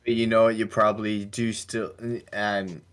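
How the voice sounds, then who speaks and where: A man hosting a podcast in casual discussion, speaking in a halting, measured way with careful qualifications. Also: fairly low pitch, level tone, a bit bright, clear, resonant tone. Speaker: podcast host.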